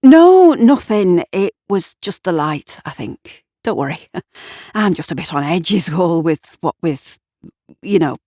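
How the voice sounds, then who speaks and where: A nervous storybook man in a pub, voiced by a woman, jittery and defensive. His hesitant speech leaps up in pitch, recorded close and dry. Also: big pitch swings, slow, dark tone, dry recording, heavily acted. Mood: flustered, nervous. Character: nervous, guilty, easily startled man in a pub.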